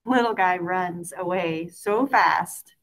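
A woman reads a children's story aloud expressively, in a sing-song way with drawn-out key words. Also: fairly low pitch, a bit bright. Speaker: picture book reader.

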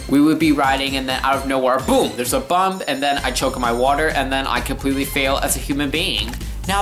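A man's voice: mid-range voice, a bit bright. A young male vlogger telling a story casually in a living room. He rants with sarcasm and exaggeration, and faint music plays behind him. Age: teenager to young adult.

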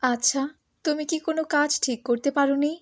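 Woman as high-voiced pious village mother. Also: steady tone, fairly quick, no echo, studio quality, close to an everyday voice. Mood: gentle, devout. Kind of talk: cartoon moral-story voice acting.

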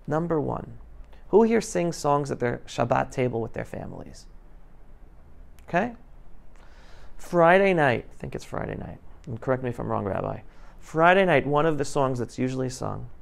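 High-pitched, gravelly man lecturing informally. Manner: emphatic, explanatory, questioning the listeners. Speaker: guest speaker. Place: school classroom, indoors. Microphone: clip-on lapel microphone.

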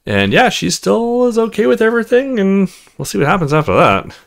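Man with very animated, swinging pitch. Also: fairly quick, gravelly. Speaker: let's play host. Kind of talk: casual let's play commentary, reading game text aloud.

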